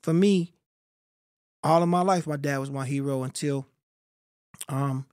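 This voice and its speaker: A middle-aged man talking as a podcast guest in a studio, in a measured, reflective storytelling way. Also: mid-range voice, a little mellow, dry recording. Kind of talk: reflective interview talk. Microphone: studio mic on boom.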